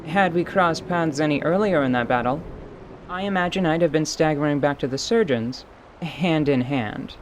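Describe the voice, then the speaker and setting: A man voicing a battle-worn, winged fantasy mercenary in an audio drama, stoic and wry, speaking in a dry, formal, deliberate way. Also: on the high side, slight background noise, natural voice. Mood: dry, matter-of-fact.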